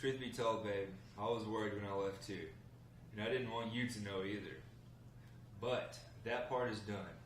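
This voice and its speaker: A man's voice: lowish voice, fairly even intonation, moderate pace, lightly acted. A man reading the part of a confident, defensive husband who is joining a gang, in a drama script table read. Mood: excited, relieved. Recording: echoey room, distant mic, faint noise.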